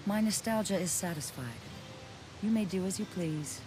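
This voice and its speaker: A woman's voice: fairly low pitch, lively intonation, moderate pace, lightly acted. A woman voicing a proud, aloof ancient ice spirit in a video game, speaking in a cool, formal and curt way. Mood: nostalgic. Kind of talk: video game cutscene voice acting. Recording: music under the voice.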